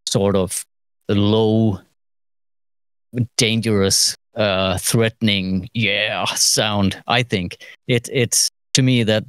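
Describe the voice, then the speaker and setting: A middle-aged man with a fairly low voice talks through a studio task. He pauses and muses as he goes, in an informal tutorial way. The sound is dry, with little room. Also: smooth, no echo. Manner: halting, musing, trailing phrases. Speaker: mixing engineer giving a lesson. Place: recording studio, seated at desk.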